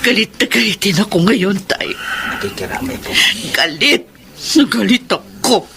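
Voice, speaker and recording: A woman playing an angry, grieving daughter in a Filipino radio drama, with emphatic, drawn-out delivery. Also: medium pitch, lively intonation, background noise. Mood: angry, bitter. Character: angry, grieving adult daughter, bitter and unforgiving. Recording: music bed behind the voice.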